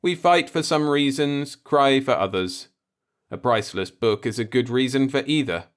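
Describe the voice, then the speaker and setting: A man's voice: mid-range voice, lively intonation, moderate pace, smooth, voice pushed up, natural voice. A man voicing an earnest, somewhat impatient human space traveler who reasons persuasively in a science fiction audiobook. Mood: consoling. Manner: insistent, explanatory, persuasive.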